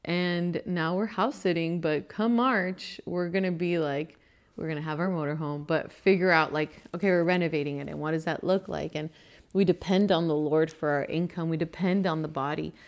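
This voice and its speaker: Young woman born in Venezuela, earnest podcast co-host with a slightly low voice, casual delivery. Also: steady tone, normal speed. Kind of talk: casual faith podcast chat.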